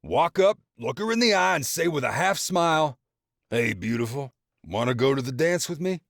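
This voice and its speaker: A man voicing a boastful father loudly mocking his son in front of the family. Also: a little high, animated, moderate pace, vocal fry, no room sound, clean studio sound, pitched above the performer's own voice. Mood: gleeful mocking. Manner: loud, mocking, laughing storyteller. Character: boastful teasing middle-aged father, loud.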